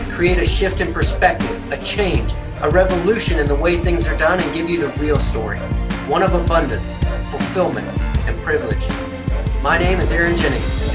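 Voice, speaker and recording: A man hosting a podcast, easygoing and quick to laugh, moving from a scripted intro to a casual interview. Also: a little high. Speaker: podcast host. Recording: loud music behind the voice.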